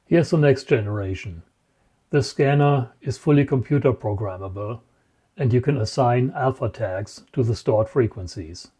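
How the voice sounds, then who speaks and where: A man presents a technical demonstration in a soft, mellow voice. His delivery is measured and matter-of-fact, explaining things as scripted narration at an average pitch. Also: husky. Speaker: presenter, radio scanning enthusiast.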